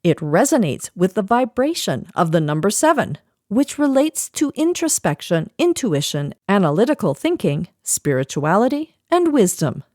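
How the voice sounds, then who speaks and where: A woman's voice: mid-range voice, big pitch swings, moderate pace, few pauses, darkish tone, dry recording, studio quality. A middle-aged woman presenting a scripted podcast segment, narrating with wry asides.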